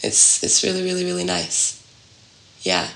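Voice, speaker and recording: A woman speaks in a low voice with plenty of gravelly fry. She is reflective and conversational, halting as she looks for the right words. The room rings noticeably around her. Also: sing-song, a bit bright, roomy sound, poor audio. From Scotland. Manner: halting, thoughtful, searching for words. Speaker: podcast guest sharing a personal story.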